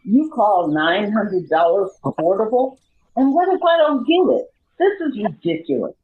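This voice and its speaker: A woman's voice: mid-range voice, sing-song, moderate pace, close to an everyday voice. A woman playing a worried, indignant homeless citizen in an amateur radio play, earnest and incredulous. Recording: phone-like muffled sound with faint noise.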